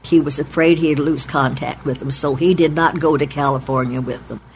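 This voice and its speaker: Woman in her eighties, from Oklahoma, deliberate recollection, faint background hiss. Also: low voice, fairly even intonation, low-quality recording. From Oklahoma, United States. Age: 80s. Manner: measured, deliberate, spells out names letter by letter. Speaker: oral history interviewee. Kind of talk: recorded oral history recollection. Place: her apartment in a retirement home.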